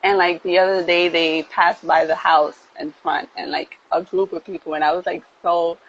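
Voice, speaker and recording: A woman, talking earnestly and haltingly with many fillers in a casual livestream conversation. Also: on the low side, flat delivery, muffled recording. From United States. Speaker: model and activist, guest. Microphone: phone, video call.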